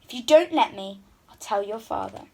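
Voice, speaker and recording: A woman's voice: mid-range voice, animated, moderate pace, dark tone, close to an everyday voice, low-quality recording. A woman voicing a demanding little frog in a children's storybook, polite but insistent. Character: persistent, demanding male frog.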